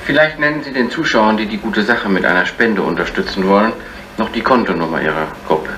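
An actor playing a brisk, formal broadcast interviewer in a radio drama. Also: male voice, lowish voice, bright, forceful tone. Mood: brisk, polite. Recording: roomy, faintly noisy old broadcast.

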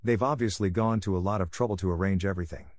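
Quick-talking man reading test sentences. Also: sits low, darkish tone, dry recording. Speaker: test prompt reader. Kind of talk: scripted example sentences read aloud.

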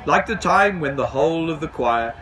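A middle-aged man reading a children's storybook aloud outdoors, in an animated, expressive way. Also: a little high, moderate pace, background noise. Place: outdoors on a garden bench.

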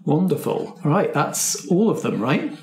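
A man's voice: a little high, sing-song, unhurried. A man speaking as the host and game master of a tabletop role-playing podcast.

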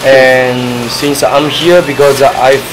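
A man in his thirties, originally from China, answering interview questions as a bakery owner in halting, simple English full of "you know". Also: medium pitch, flat delivery. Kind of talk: casual interview. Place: small bakery cafe, indoors. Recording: noisy room with air conditioning hum.

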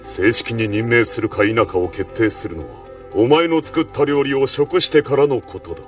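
An actor playing a stern, dignified admiral in an anime, speaking in a formal, measured, commanding way. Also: male voice, medium pitch, far from the mic, soft background music, close to an everyday voice. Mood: stern, composed. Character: stern, dignified male admiral, high official judging chefs. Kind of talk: anime dramatic acting.

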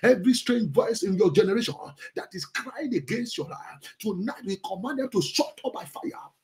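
A middle-aged man, a pastor leading fervent Pentecostal prayer in a forceful, repetitive, urgent manner. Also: high voice, animated, normal speed. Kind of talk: fervent Pentecostal prayer, repeated chanted commands.

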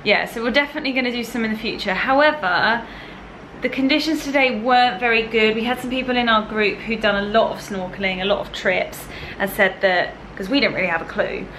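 A woman's voice: fairly high pitch, fairly quick, a bit bright. Chatty young woman vlogging. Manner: chatty, laughs often. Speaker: travel vlogger. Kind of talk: casual vlog chat. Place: outdoors on a pier, then a hotel room. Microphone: handheld camera at arm's length. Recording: noisy handheld camera audio.

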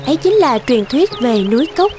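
A woman's voice: high voice, very animated, moderate pace. A woman reading scripted narration as the storyteller of a folktale. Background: music.

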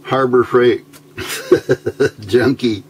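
A man giving an informal hands-on electronics tutorial, thinking aloud in a halting, unscripted way at an indoor workbench. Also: medium pitch, fairly even intonation. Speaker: electronics hobbyist demonstrating a homebuilt circuit. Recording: amateur recording with clear background noise.

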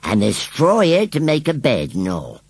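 Storybook scarecrow: rural English rustic, touchy and cheeky, raised pitch with wild swings, slightly husky. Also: male voice, huge pitch swings, normal speed, darkish tone, dry recording, exaggerated voice. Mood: matter-of-fact. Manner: rustic, emphatic, dialect-laden speech. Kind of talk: children's storybook character voice.

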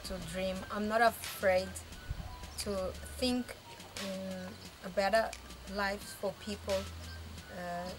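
A woman founding an arts village, speaking in an interview in a determined, emphatic way with halting repeats. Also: mid-range voice, steady tone, background noise. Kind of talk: interview testimony.

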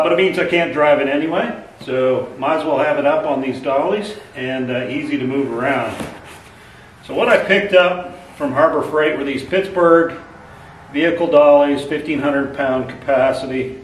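A middle-aged man talks in a relaxed, folksy way, with plenty of filler sounds. His voice sits at an ordinary middle pitch. He is recorded indoors in a large pole barn workshop through a camera mic on a tripod. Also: moderate pace, slight background noise, rough recording. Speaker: channel host reviewing a product. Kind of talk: casual how-to vlog narration.

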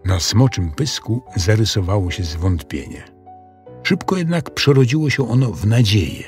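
A man narrating a children's story in an expressive, scripted storytelling style. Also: on the low side, normal speed, soft background music.

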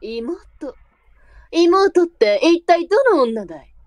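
A woman playing a shrewd, sharp-tongued, brisk brothel madam in a period audio drama. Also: extremely high pitch, very animated, no room sound, exaggerated voice. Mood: wary, businesslike. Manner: blunt, switches to formal politeness with guests.

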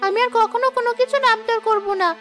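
Extremely high-pitched little girl. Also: steady tone, quick pace, loud music behind the voice. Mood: plaintive. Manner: plaintive, childlike. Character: frail, pleading little village girl. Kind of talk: Bengali cartoon voice acting.

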